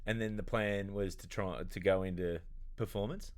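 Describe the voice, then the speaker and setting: A man's voice: fairly low pitch, fairly even intonation, normal speed, clean tone. A man, hosting a podcast and asking curious, teasing follow-up questions from a studio armchair into a stand mic. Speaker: podcast host. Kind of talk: casual interview chat. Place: dark studio set, seated in armchair. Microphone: studio condenser mic on stand.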